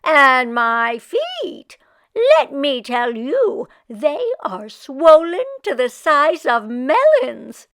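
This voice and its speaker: Woman acting loud, wailing old village kvetch. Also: high-pitched, very animated, drawn-out words, full character voice. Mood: wailing complaint. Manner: wailing, exaggerated complaining with Yiddish exclamations. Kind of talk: storybook character voice.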